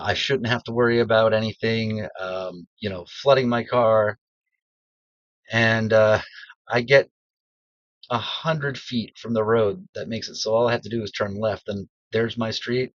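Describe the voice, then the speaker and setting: A man's voice: fairly low pitch, steady tone, unhurried, a bit bright. A middle-aged man talks casually and self-deprecatingly, stopping on plenty of ums, his voice still stuffy from a throat infection. He records in a small cedar closet with no echo at all. The sound is slightly muffled and lo-fi. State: congested, recovering from strep throat. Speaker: beginner voice actor. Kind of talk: casual personal vlog.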